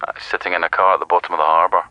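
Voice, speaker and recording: An actor playing a blunt, matter-of-fact police detective on a call in a radio drama. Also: male voice, low voice, little pitch movement, rapid-fire, no room sound, highs cut off, natural voice. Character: blunt male provincial police detective on telephone.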